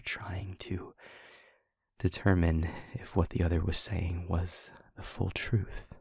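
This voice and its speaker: A man playing a weary demon in an intimate audio roleplay, speaking earnestly and haltingly in a breathy half-whisper. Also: deep voice, a little mellow, dry recording, clean studio sound, heavily acted. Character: weary male demon confiding a painful truth. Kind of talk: scripted audio roleplay, intimate monologue to listener. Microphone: close microphone, dry.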